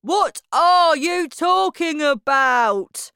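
A woman reading a children's story, voicing a loud, boastful, self-important father who talks slowly and grandly. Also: expressive, clear, resonant tone, no room sound, studio quality. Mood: angry. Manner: pompous, slow and booming.

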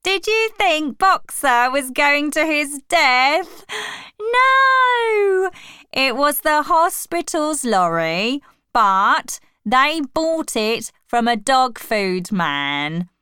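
Woman as a slow, falsely sorrowful propagandist pig. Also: high-pitched, very animated, sharp tone, no room sound, clean studio sound, voice pushed up, heavily acted. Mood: persuasive. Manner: slow, exaggerated persuasive emphasis.

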